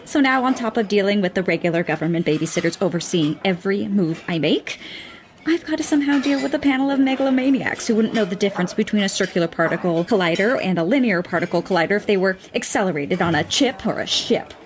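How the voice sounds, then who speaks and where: A woman's voice: medium pitch, expressive, quick pace, a little hiss or hum, lightly acted. Wry, overworked woman scientist, played by an actress. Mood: exasperated. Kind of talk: audio drama acting.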